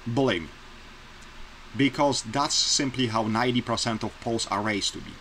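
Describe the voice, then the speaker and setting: A man's voice: medium pitch, normal speed, fairly bright tone, slight background noise, poor audio. A man in his forties from Poland with a Polish accent, talking earnestly and plainly to his webcam in a small bedroom, repeating his points for emphasis. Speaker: vlogger. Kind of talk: casual opinion vlog to camera. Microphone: webcam close-up.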